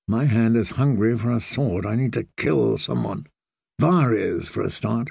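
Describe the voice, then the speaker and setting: A man voicing a grim, one-handed knight who speaks in a cold, level, quietly menacing way. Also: medium pitch, unhurried, vocal fry, slightly rough, dry recording, clean studio sound, exaggerated voice.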